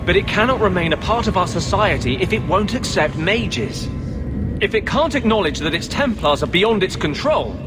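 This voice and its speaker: A fervent rebel mage in a fantasy video game. His high male voice is earnest and declamatory, full of idealistic conviction, with music playing clearly behind it. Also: animated, normal speed. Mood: earnest, resolute. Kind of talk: dramatic video game voice acting.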